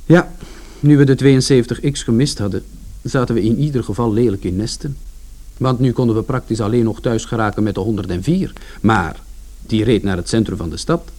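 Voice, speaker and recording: Casting: male narrator, played by an actor, medium pitch, aggrieved and long-winded, colloquial radio-play storytelling. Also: darkish tone, lightly acted. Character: indignant, long-winded man telling his side of events.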